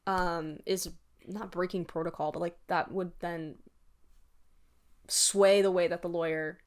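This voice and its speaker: A woman's voice: fairly low pitch, steady tone, unhurried. A young woman co-hosting a podcast in casual chat, informal and hedging as she thinks aloud. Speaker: podcast co-host.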